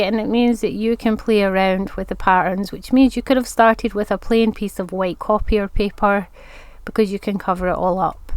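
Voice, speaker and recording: A woman's voice: mid-range voice, clean tone, no echo. A woman with a congested voice from hay fever, chatting her way through a step-by-step crafting tutorial. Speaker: craft vlogger.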